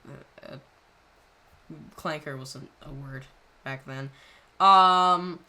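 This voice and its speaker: A woman creator talking casually over a video, rambling and halting with lots of fillers. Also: low voice, sharp tone. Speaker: channel creator.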